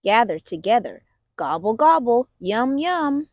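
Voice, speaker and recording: A woman reads a children's picture book aloud with a very animated, swooping delivery. She takes her time over the words in an expressive storytelling way. The sound is dry and close, without room echo. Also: on the high side, measured pace.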